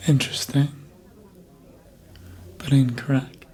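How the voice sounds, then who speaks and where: An actor playing a seductive, manipulative vampire in an ASMR roleplay, speaking in a breathy, often whispered voice with a deliberate, hypnotic manner, close to the mic. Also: mid-range voice, flat delivery, darkish tone, lightly acted. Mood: smug, hypnotic. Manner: slow, deliberate, hypnotic, with long pauses. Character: seductive vampire, manipulative mind-controller, calm and menacing. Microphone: close ASMR microphone.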